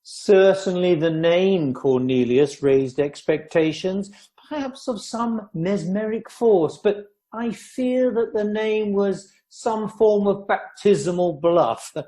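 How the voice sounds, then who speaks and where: A man playing a young, mocking, laconic Edwardian society gentleman in an amateur radio play, with florid, flippant wit. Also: high voice, takes it slowly.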